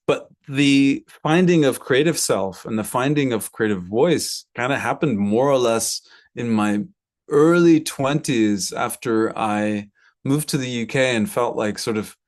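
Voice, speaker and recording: A man's voice: medium pitch, unhurried. An American man in his thirties talking reflectively in an interview, searching for his words and repeating some for emphasis. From Brooklyn, United States. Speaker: composer and violinist interviewee.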